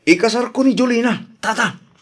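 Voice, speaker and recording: Man playing a soft-spoken, earnest Filipino suitor. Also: very high voice, fairly quick. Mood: respectful, earnest. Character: earnest, soft-spoken male suitor courting an old love. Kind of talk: Ilocano radio drama acting.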